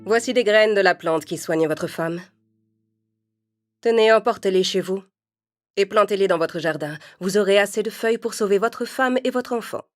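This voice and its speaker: A woman's voice: mid-range voice, moderate pace, faint music behind, lightly acted. A woman voicing a haughty, menacing witch who bargains, in an imperious manner, for a children's storybook. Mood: cold bargaining.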